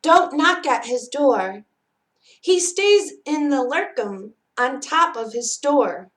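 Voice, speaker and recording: Slow, higher-voiced woman reading a storybook. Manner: expressive, measured storytelling. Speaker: library storyteller reading aloud. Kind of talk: children's picture book read-aloud.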